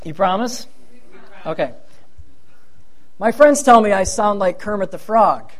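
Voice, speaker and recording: A man's voice: high voice, animated, a little slow. A middle-aged man preaches with a thin, light voice. He talks to his congregation in a chatty, self-deprecating way, wandering into asides before returning to the point. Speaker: pastor. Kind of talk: sermon.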